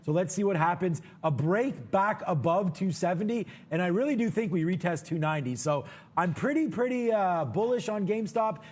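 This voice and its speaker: A man's voice: high voice, animated, moderate pace, a little mellow. A man co-hosting a live trading show, giving casual market commentary in an informal, chatty way straight to viewers.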